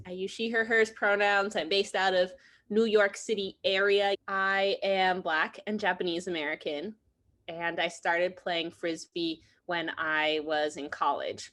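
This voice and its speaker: A woman introducing herself in a documentary interview, relaxed and friendly. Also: mid-range voice.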